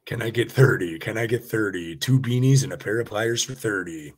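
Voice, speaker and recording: Husky-voiced man calling auction bids. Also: on the low side. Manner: rapid rhythmic bid calling with rhyming banter. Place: home room on a video call.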